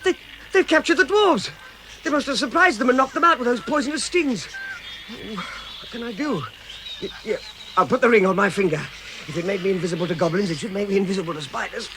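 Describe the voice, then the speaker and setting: High-pitched, fussy, plucky hobbit. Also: male voice, lively intonation, a little fast. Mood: alarmed. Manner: stammering, muttering to himself, exclamatory. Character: timid but plucky, fussy hobbit adventurer, alone and anxious. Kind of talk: vintage British radio drama acting. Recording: vintage radio recording with loud background noise.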